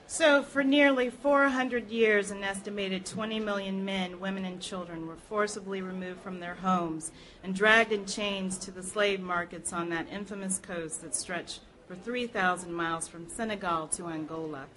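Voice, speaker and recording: A woman reading a nonfiction history text aloud in a measured, earnest, formal way. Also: medium pitch, fairly even intonation, fairly bright tone, poor audio. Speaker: reader at a public library program.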